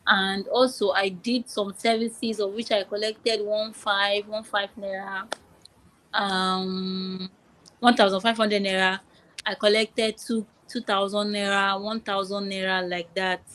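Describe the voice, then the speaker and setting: A woman's voice: mid-range voice, little pitch movement, a little slow, fairly bright tone. A young woman from Nigeria with a Nigerian accent, a podcast guest talking casually, who repeats her phrases and restarts often. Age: young adult. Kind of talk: podcast interview.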